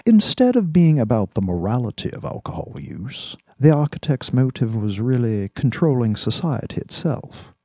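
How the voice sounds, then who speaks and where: A man voicing a cool, sardonic male kingpin in an audiobook, speaking in a deliberate, formal, dryly ironic way. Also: mid-range voice, very animated, mellow, no room sound, studio quality, pitched above the performer's own voice. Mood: probing, intense. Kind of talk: audiobook character dialogue.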